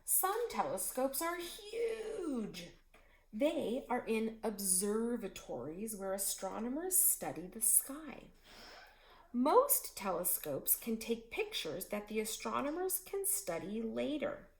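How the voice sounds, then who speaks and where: A woman reads a children's book aloud to her class. Her delivery is slow and deliberate, and her pitch swings widely as she tells the story. The recording picks up a noticeably echoey room. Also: sing-song. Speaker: teacher reading aloud.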